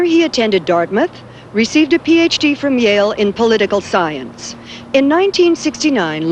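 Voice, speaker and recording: Lively-pitched female news correspondent. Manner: formal, measured newsreader delivery. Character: serious female TV news correspondent narrating a background report. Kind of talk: scripted news narration in a TV drama. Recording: slightly noisy 1980s TV broadcast.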